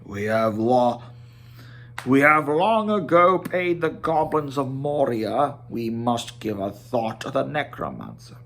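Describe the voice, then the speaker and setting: A man voicing a proud, grumbling dwarf leader in a storybook reading, gruff and demanding, drawing out his words. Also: mid-range voice, big pitch swings, takes it slowly, fairly bright tone, full character voice. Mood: vengeful.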